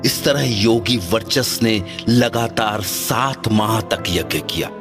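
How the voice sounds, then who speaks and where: A man's voice: fairly low pitch, takes it slowly, over music. A man playing a solemn documentary narrator, telling an ancient legend in a formal, dramatic style. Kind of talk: scripted mythological narration.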